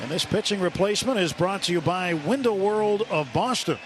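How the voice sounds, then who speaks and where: Higher-voiced American man calling baseball. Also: moderate pace. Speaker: radio baseball play-by-play announcer. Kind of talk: live sports commentary. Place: ballpark broadcast booth. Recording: live stadium broadcast, ambient noise.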